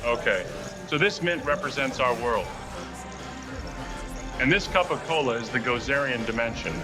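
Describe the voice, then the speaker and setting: An actor playing an earnest, brainy and anxious scientist in a motion comic, talking in precise technical terms. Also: male voice, medium pitch, expressive, normal speed, close to an everyday voice, low-quality recording. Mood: earnest, explaining. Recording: loud music behind.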